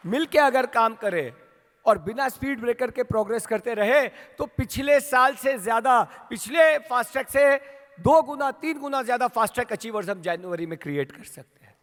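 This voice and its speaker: A man with an Indian accent giving a rousing motivational speech to his company's sales team, asking the crowd questions and building to shouts. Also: very high-pitched, normal speed. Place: large hall at a training event. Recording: long hall reverb.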